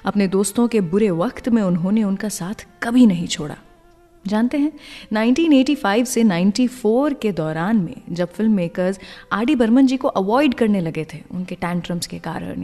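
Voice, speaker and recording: A woman's voice: mid-range voice, mellow. An Indian woman hosting a radio show, telling stories and introducing songs conversationally, mixing in English words. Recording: long reverb, music behind voice. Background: background music.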